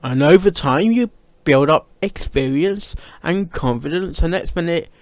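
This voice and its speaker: British male reaction host, highish lively voice, halting and repetitive delivery, dull lo-fi mic. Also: no echo. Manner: halting, repeats words and phrases. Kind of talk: casual off-the-cuff reaction commentary. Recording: dull lo-fi microphone sound.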